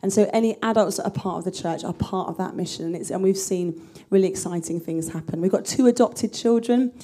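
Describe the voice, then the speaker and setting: A woman's voice: medium pitch, a little fast, mellow. A woman introducing herself earnestly on a church conference panel, speaking into a handheld mic in a large conference room. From England.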